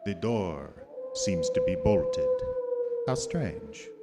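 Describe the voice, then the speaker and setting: An actor playing a sinister, aristocratic male vampire in a gothic radio drama, with deliberate, menacingly polite delivery. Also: a little high, wildly swooping pitch, creaky, over loud music, full character voice. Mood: mocking politeness.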